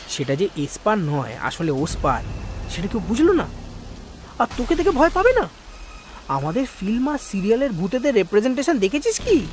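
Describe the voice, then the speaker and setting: Man playing a lively, cheeky ghost buddy. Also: very high-pitched, slight background noise. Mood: mocking, exasperated. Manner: chatty, mocking, colloquial. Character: cheeky, teasing young male ghost buddy. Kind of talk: comic audio drama dialogue.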